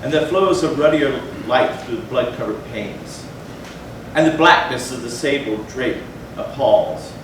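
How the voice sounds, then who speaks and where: A man performing a dramatic stage reading of a gothic tale, with measured, theatrical phrasing and deliberate pauses. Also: mid-range voice. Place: large echoey room, live stage performance. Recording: echoey hall from far off, noisy.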